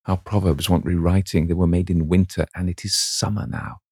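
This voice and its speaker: A man's voice: low voice, steady tone, fairly quick, a little mellow, breathy edge, no room sound, studio quality. A man voicing a young actress, dreamy and lovestruck, speaking in a breathless, rapturous way.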